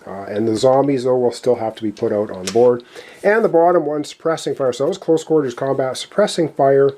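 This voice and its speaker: A man's voice: mid-range voice, a little fast, mellow. A man giving a casual board game tutorial, talking viewers through the setup step by step in an explanatory way. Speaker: board game player and presenter. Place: indoors at a gaming table.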